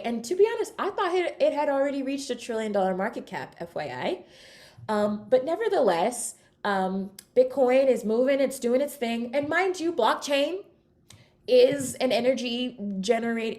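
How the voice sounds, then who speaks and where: Woman, keynote speaker; chatty, energetic, mid-pitched, talks straight to the crowd. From California, United States. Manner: chatty, upbeat, addresses audience with shout-outs. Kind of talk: energetic conference talk. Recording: online talk.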